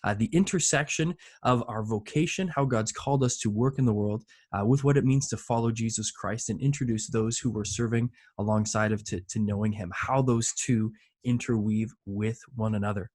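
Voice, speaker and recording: Breathy, upbeat man hosting a podcast. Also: on the low side, normal speed, darkish tone. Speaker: podcast host. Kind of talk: podcast intro and interview hosting.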